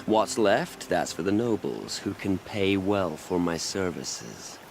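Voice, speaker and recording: Low-voiced cynical exiled healer. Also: male voice, big pitch swings, takes it slowly, vocal fry, faint music behind, full character voice. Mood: sardonic, smug. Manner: dry, wordy, sardonic. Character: cynical, self-pitying exiled male healer, haughty and eloquent. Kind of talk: video game voice acting.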